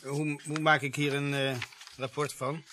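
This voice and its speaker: An actor playing a polite, hesitant young police recruit in a radio play. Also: male voice, a little high, flat delivery, a little slow, bright, forceful tone, a little hiss or hum. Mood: deferential.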